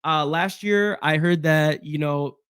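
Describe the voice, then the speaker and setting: A man with a hoarse voice from being sick, chatting casually through a solo football roster breakdown with fillers and restarts. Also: fairly high pitch, slow pace, fairly bright tone, no echo, clean studio sound. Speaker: sports podcast host.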